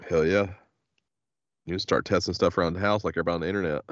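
A deep-voiced man with a head cold hosts a podcast in a loose, joking way. His sound is a bit dull and muffled. He drops casual curses and laughs easily with his co-hosts. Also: steady tone, rapid-fire, no echo. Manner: laid-back, joking, says "hell yeah" often. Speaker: podcast host. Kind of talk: casual chat.

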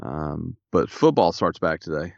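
A man, co-hosting a podcast in casual chat, a little stuffy and raspy, laid-back with dry humor. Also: low-pitched, animated, a little slow, no echo, highs cut off. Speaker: podcast co-host.

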